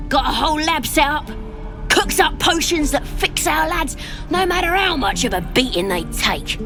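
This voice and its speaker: A high-voiced female goblin, cocky and zealous. She talks in a colloquial, wheedling, boastful way, as in a fantasy video game, with music clearly behind her. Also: lively intonation, normal speed. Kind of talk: video game character acting.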